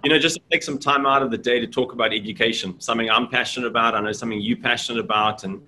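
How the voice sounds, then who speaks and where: A man's voice: medium pitch, fairly even intonation, rapid-fire, bright, forceful tone. A middle-aged man from South Africa, a school deputy principal, talking earnestly and reflectively in a casual interview over a video call. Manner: earnest, reflective, lots of fillers. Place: indoors, small room, video call. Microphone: video call webcam.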